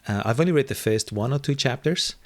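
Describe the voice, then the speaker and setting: A man's voice: mid-range voice, animated, fairly quick. A man whose first language is Afrikaans, musing in an interview with frequent fillers and restarts. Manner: thoughtful, many fillers and restarts. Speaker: interview guest. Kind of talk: reflective conversational interview.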